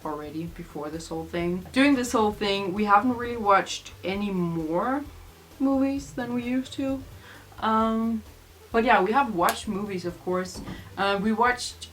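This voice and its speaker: A woman's voice: medium pitch, fairly bright tone. A young woman from Denmark, a native Danish speaker, chatting casually through vlog tag questions in a rambling way with many fillers. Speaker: vlogger.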